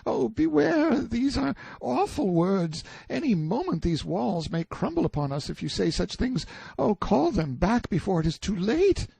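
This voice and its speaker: A man's voice: on the high side, wildly swooping pitch, slow, dark tone, husky, no echo, pitched above the performer's own voice, heavily acted. A man voicing a timid young medieval page boy who speaks in archaic, courtly exclamations in an audiobook story. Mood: fearful, pleading. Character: timid, light-hearted medieval page boy, superstitious and easily frightened.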